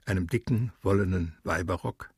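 Very husky, low-voiced male storyteller. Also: moderate pace, darkish tone, dry recording, clean studio sound. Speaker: radio drama narrator. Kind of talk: dramatic literary narration.

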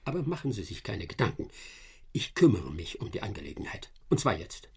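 An actor playing a self-assured, boastful English master detective in a German radio play, pompous, brisk and commanding. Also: male voice, lowish voice, sing-song, vocal fry, breathy edge, heavily acted. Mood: confident.

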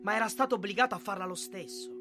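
A man's voice: sits high, fast, natural voice. An actor playing a bitter young dead soldier who speaks to his mother in an impassioned theatrical manner. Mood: bitter protest. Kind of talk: emotional theatrical dialogue. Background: music.

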